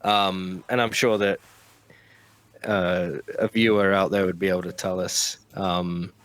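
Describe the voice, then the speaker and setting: A man's voice: low voice, level tone, measured pace, fairly bright tone, poor audio. A man with a British accent, co-hosting a book podcast in casual chat and cracking playful asides. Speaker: podcast co-host.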